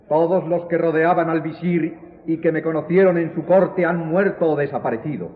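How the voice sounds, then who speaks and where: A man acting a proud military count under accusation, in radio drama style. His voice sits high and he declaims formally. The sound is very echoey and narrow, like an old telephone. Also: lightly acted. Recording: echoey hall, tinny narrow-band sound.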